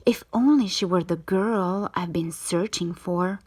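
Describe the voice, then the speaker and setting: A woman playing a gentle, lovestruck young prince in a children's storybook, speaking softly and wistfully. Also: fairly low pitch, measured pace, slightly rough.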